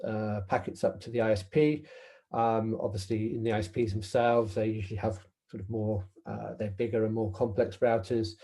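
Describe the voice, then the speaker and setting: A man's voice: lowish voice, mellow. A man giving an informal technical presentation as a workshop presenter, explaining in a hesitant, hedging way.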